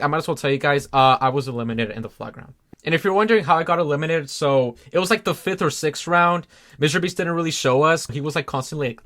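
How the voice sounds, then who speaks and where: A man's voice: a little high, a bit bright. A young man casually telling his story in a vlog, chatty and full of "like" and "um". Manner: chatty, filler-heavy, lots of "like". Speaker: YouTuber recounting a game show.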